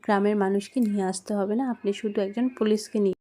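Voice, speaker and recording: A woman's voice: mid-range voice, little pitch movement, fast, dark tone. Female storyteller, mid-range voice, scripted narration for a children's spooky cartoon tale. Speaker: storyteller and channel host.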